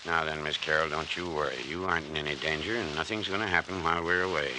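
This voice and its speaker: Deep-voiced folksy old country doctor. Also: male voice, steady tone, fast. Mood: reassuring. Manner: drawling rural turns of phrase. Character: folksy older male small-town doctor, bossy and reassuring. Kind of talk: old-time radio drama acting. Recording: noisy vintage radio recording.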